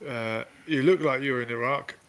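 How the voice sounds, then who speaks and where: A man's voice: medium pitch, moderate pace, a bit bright, smooth. A man hosting a casual live stream over a video call, with jokey, wry asides. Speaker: host.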